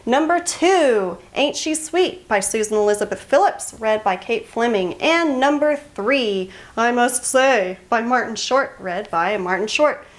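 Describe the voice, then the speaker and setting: A woman's voice: a little high, lively intonation, a little slow, few pauses. A woman hosting a book review channel, talking in a chatty, enthusiastic and emphatic way straight to her viewers. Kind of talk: casual scripted book recommendation to camera.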